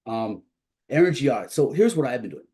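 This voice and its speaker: A man giving an informal instructional presentation as a college instructor, explaining haltingly with a congested, slightly husky voice that is starting to give out. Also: mid-range voice, big pitch swings, dark tone. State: congested, starting to lose his voice.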